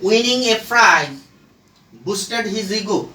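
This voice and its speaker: Grammar instructor, mid-pitched, very unhurried scripted delivery, deliberate phrasing, roomy and distant recording. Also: expressive, sharp tone. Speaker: grammar teacher. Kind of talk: scripted English grammar lesson. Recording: echoey room, distant from mic.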